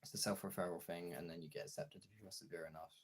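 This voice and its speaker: A young man streamer talking casually and frankly in a gravelly, husky voice, halting at times and swearing freely. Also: deep voice, fairly even intonation, a little hiss or hum. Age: young adult. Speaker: streamer and voice actor chatting to followers. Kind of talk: casual candid chat.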